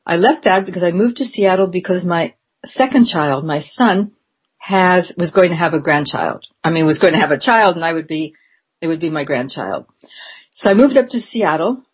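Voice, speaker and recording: A woman in her seventies, interviewed and reflecting on her life in a meandering, unhurried way, with frequent ums and restarts. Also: lowish voice, animated, breathy edge. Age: 70s.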